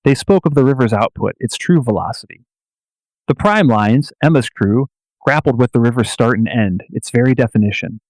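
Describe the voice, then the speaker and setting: A man delivers scripted dramatic narration with solemn, deliberate phrasing. His voice sits at a medium pitch and is recorded close, with very little room sound. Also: animated, a little mellow, dry recording, poor audio.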